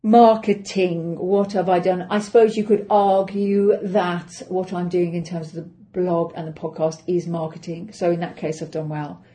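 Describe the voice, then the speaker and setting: A middle-aged woman with an English accent, chatting through her week on her own podcast. Her voice sits low and mellow, and she talks in a chatty, self-deprecating way, thinking aloud. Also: steady tone, moderate pace, hardly any pauses, a little hiss or hum. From England. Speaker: actress and podcast host. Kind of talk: casual solo podcast update.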